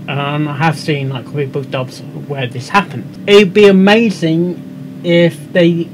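Higher-voiced young English man, halting talk. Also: background noise. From England. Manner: halting, rambling. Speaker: host of a reaction show. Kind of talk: casual reaction commentary. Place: indoor room at a computer. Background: music.

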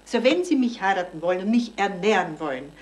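A woman's voice: medium pitch, lively intonation, fairly quick, natural voice. An actress playing an older, demanding lady in a 1970s German radio drama, insistent, reproachful and wheedling. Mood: insistent, coaxing. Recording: slightly noisy 1970s radio recording.

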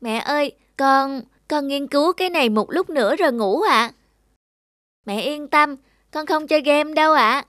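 A woman voicing a devoted, thoughtful young schoolboy in an animated drama, polite, earnest and imploring. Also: high-pitched, normal speed. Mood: eager. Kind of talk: animated moral drama acting.

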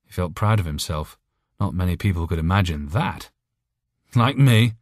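A man's voice: deep voice, brisk, no room sound, studio quality. A man reading a short story aloud as an audiobook narrator, in scripted narration.

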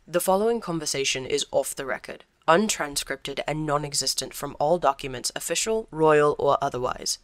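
An actor playing a stern, secretive military captain in an audio drama, formal, clipped and commanding. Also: male voice, a little high, a bit bright, no room sound, clean studio sound. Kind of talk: scripted audio drama acting.

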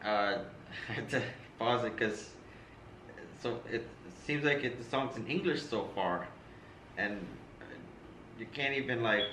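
Young man, bright voice, echoey room. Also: medium pitch, unhurried. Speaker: co-host of a reaction channel. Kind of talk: casual chat. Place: small room indoors, seated in gaming chairs. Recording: echoey room with faint noise.

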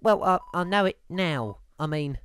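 A woman voicing a naive, excitable young monster kid with slangy, childlike delivery in a video game. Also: fairly low pitch, moderate pace, soft background music, lightly acted. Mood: awkward. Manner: eager, slangy, childlike exclamations. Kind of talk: cartoonish video game dub.

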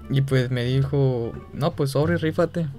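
Hesitant young Mexican man over music. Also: mid-range voice. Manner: hesitant, halting, feigning embarrassment. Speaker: gaming video creator making a prank call. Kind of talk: casual phone chat. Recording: music playing behind the voice.

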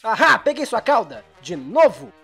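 A man's voice: sits high, huge pitch swings, normal speed, sharp tone, slightly rough, soft background music, full character voice. A man with a Brazilian accent playing a cheerful, dim-witted young hero in a comedic anime parody dub. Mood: naive, upbeat. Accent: Brazilian Portuguese. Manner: naive, guileless.

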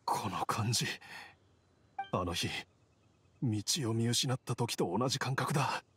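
An actor playing an earnest, desperate young village man in a video game, speaking plainly and roughly with halting intensity. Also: medium pitch, expressive, fairly bright tone, exaggerated voice. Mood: uneasy. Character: earnest, desperate young village husband, sickly but determined. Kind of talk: Japanese video game voice acting. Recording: studio voice over game music. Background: background music.

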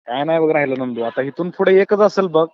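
A man's voice: fairly high pitch, animated, fast talker. A man with a rural Maharashtrian accent chatting on a phone call, holding forth earnestly in colloquial, philosophising style. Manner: colloquial, earnest, philosophising, often addresses listener as brother. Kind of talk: casual phone chat, opinionated monologue. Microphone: phone call, close and dry. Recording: dull lo-fi phone call recording.

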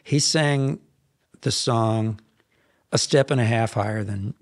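A man in his fifties, a songwriter guest in a podcast studio, telling stories in a rambling, animated way with frequent restarts. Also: medium pitch, slow, dry recording. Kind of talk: casual interview storytelling. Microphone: headphones and boom mic.

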